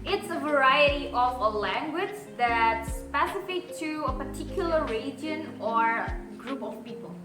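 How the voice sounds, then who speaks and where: Slow, high-voiced young woman. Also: animated. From Indonesia. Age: young adult. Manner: slow and searching for words, with fillers. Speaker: podcast co-host. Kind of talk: casual educational chat. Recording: roomy sound with music underneath.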